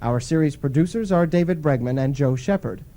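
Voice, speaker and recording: A man's voice: fairly high pitch, a little fast, warm tone. A man announcing for a radio show in a scripted sign-off style. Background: music.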